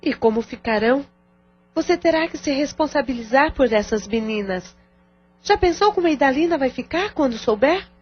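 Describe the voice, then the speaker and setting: A woman's voice: sits high, expressive, normal speed, a little hiss or hum. A Brazilian woman playing a stern, indignant but supportive middle-aged sister in an audio novela. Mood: indignant, reproachful. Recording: dull lo-fi audio with music bed.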